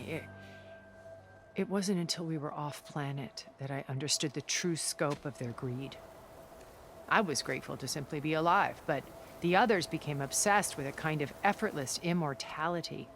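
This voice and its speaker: Low-voiced, worldly, regretful schemer. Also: female voice, music in the background. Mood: wistful, confessional. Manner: measured, articulate, theatrical. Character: worldly, regretful, scheming middle-aged woman of a far-future elite. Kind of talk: dramatic video game cutscene acting.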